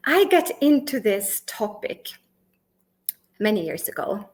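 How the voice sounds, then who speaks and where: A middle-aged woman from Sweden gives a prepared conference talk in English. She speaks with emphasis, asks the audience questions, lists words one at a time with pauses, and makes small grammar slips and restarts. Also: medium pitch, big pitch swings, darkish tone. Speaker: conference speaker and workplace consultant.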